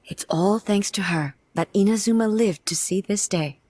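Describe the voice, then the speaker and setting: A woman's voice: medium pitch, mellow, slightly rough, close to an everyday voice. A woman voicing a solemn regal goddess ruler. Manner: measured, formal, dignified. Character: solemn regal female deity ruler, composed. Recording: game audio with faint music behind.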